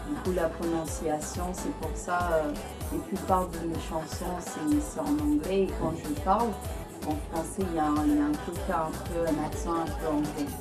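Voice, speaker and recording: Low-pitched woman with a Caribbean English accent, interview guest, halting and unsure, over clear background music. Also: fairly even intonation. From Guyana. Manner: hesitant, many fillers and restarts. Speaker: singer-songwriter guest. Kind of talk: studio interview.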